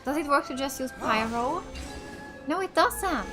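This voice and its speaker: A woman from Finland doing casual let's play commentary, reacting aloud and thinking out loud as she plays. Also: sits high. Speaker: gaming YouTuber. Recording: game music behind the voice. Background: video game music.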